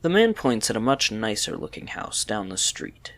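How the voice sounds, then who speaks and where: A man's voice: lowish voice, big pitch swings, normal speed, gravelly, fairly bright tone. A man narrating a scripted audio story.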